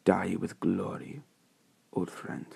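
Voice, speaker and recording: A man voicing a noble aging warrior in a story, solemn and hushed, speaking slowly. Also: fairly low pitch, flat delivery. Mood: grave farewell.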